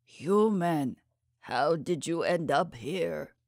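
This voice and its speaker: Woman voicing an ancient, low-pitched, commanding old man. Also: expressive, darkish tone, no echo, clean studio sound, full character voice. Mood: calm, wry. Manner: measured, authoritative. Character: ancient, imposing, authoritative shackled non-human old man.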